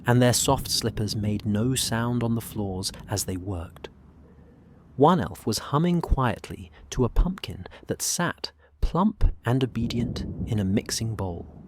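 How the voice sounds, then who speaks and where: A narrator reading a soothing bedtime story in a hushed, gentle voice with soft pauses. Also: medium pitch, expressive, background noise. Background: soft fantasy ambience.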